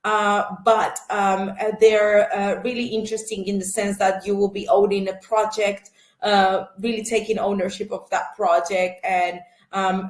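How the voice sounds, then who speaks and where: Hesitant woman presenting, echoey room. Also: mid-range voice. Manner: halting, many fillers and restarts. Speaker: recruiter. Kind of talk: online presentation.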